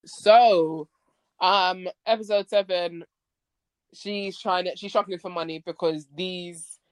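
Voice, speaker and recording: A woman hosting a casual podcast chat about a TV series, expressive and slangy. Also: lowish voice, slow, clear, resonant tone, no room sound. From Nigerian background. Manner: expressive, slangy, acts out quoted lines. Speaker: podcast host.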